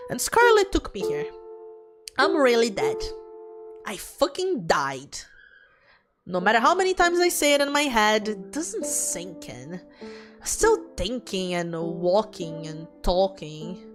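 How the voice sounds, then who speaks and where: Woman reading as anxious dead young woman, animated pitch. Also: slow. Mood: shaken, fearful. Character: anxious, self-doubting young dead woman narrating her afterlife. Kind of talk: dramatic reading of visual novel text. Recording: music behind the voice.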